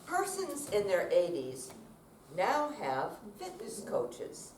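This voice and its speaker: A woman's voice: medium pitch, wildly swooping pitch, slow, a little mellow. A woman reading narrative lines aloud in a readers theater performance. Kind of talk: readers theater dramatic recital. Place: indoor senior center performance room. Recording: distant echoey room, faint noise.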